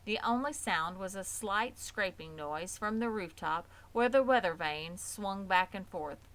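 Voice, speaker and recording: A woman's voice: mid-range voice, expressive, unhurried, a bit bright. A woman reading scripted narration from a children's book as a storybook reader. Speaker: audiobook reader.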